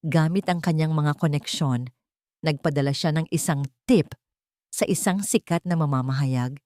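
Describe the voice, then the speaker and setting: A Filipino woman narrates a scripted romantic drama. Her voice sits on the low side for a woman, and the sound is dry, with hardly any room around it. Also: dark tone, dry recording. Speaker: story narrator.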